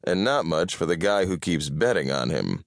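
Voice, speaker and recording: A man's voice: sits low, big pitch swings, moderate pace, creaky, dry recording, heavily acted. A man voicing a sardonic, grumpy male detective with a dry, irritable delivery, in an audiobook.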